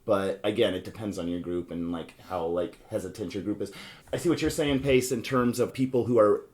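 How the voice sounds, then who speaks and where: A man's voice: fairly low pitch, a little fast, a little mellow. A man hosting a casual podcast about board games, explaining things in a rambling way and circling back over his points. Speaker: podcast host.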